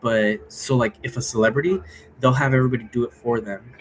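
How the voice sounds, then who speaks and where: A man's voice: mid-range voice, steady tone, quick pace, noisy background. A man giving a casual, rambling explanation in the manner of a vlogger, often checking in with a questioning tag. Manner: often tags sentences with a questioning "right?". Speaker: vlogger explaining role play rules.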